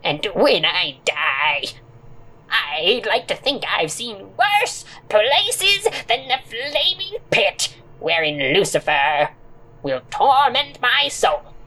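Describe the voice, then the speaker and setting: A man's voice: high voice, sing-song, slow, pushed and bright, pitched above the performer's own voice, full character voice. A man voicing a cranky, decrepit old woman in an audio drama, complaining venomously with grandiose insults. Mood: scornful. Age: older. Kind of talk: audio drama character acting.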